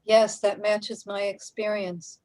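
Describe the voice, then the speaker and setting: A woman's voice: mid-range voice, flat delivery, slow, a little mellow, natural voice. A woman playing a placid, reassuring resident of a surreal street in a radio play, speaking matter-of-factly.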